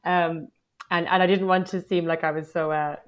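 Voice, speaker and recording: A woman's voice: on the low side, steady tone, moderate pace. A woman in her thirties from Dublin with a Dublin Irish accent, talking candidly and self-deprecatingly as an interview guest, with many "like" fillers. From Ireland, Dublin. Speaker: guest, poet. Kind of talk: casual interview chat.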